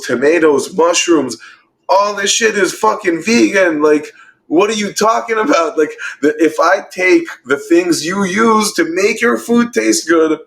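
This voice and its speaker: A man's voice: a little high, a bit bright. A man in his thirties from Israel and New York, a vegan chef on a casual podcast, talking emphatically and with plenty of swearing. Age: 30s. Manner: emphatic, profane, lists things rapidly.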